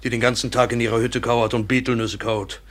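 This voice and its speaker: An actor playing a bitter, obsessive European trader in a German radio play, gravelly and husky, halting and insistently repeating himself. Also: male voice, mid-range voice, flat delivery, fairly bright tone, close to an everyday voice. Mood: bitter, indignant. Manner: brooding, halting, insistent repetitions.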